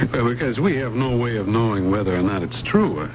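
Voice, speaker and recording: An actor playing a skeptical, matter-of-fact police lieutenant in a radio drama, with a blunt, casual manner. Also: male voice, on the low side, animated, normal speed, natural voice. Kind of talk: 1970s radio drama acting. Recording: muffled, noisy old radio broadcast.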